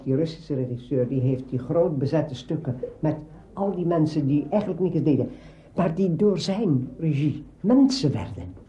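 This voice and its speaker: An older man reminiscing about radio work in an interview, in emphatic, halting phrases. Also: on the high side, warm tone. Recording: dull lo-fi recording.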